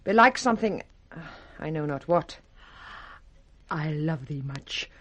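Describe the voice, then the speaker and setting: A woman's voice: deep voice, animated, a little slow, fairly bright tone, exaggerated voice. Imperious, scheming noblewoman. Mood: jealous, insinuating. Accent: British. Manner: commanding, insinuating. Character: scheming noblewoman, widowed, jealous, imperious, cold. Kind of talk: 1960s BBC radio Shakespeare acting.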